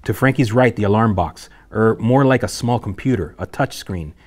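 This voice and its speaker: A man with a fairly low voice narrates a film script. He reads scene headings and action lines aloud in the present tense, as the stage-directions reader at a table read. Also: moderate pace.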